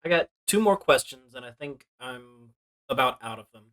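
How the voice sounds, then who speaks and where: A man's voice: mid-range voice, lively intonation, normal speed, fairly bright tone, rough recording. A man putting questions to a guest in a casual podcast interview style.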